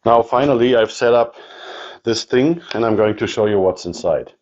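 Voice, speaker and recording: A man with a German accent showing his radio gear in a casual walkthrough, halting with many ums and restarts. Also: lowish voice, darkish tone, dull audio. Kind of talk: casual show-and-tell walkthrough.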